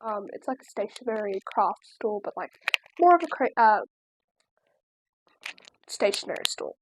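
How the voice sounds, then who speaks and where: A woman's voice: mid-range voice, expressive, normal speed, noisy background. An eighteen-year-old Australian woman chatting casually in a home vlog, rambling with lots of likes and ums. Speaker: crochet vlogger. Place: at home, seated in a chair.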